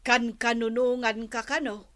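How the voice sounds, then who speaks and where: A blunt village gossip in an Ilocano radio comedy drama. Her delivery is chiding, with an Ilocano accent. The voice is fairly high, bright and pushy. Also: little pitch movement, measured pace. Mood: gossipy.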